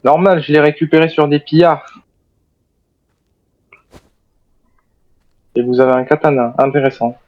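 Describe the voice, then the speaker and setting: A man's voice: a little high, fairly even intonation, fast talker, muffled recording, close to an everyday voice. A man playing an armed, wary but cooperative soldier survivor in an improvised zombie game roleplay, speaking formally and hesitantly. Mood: tense, challenging.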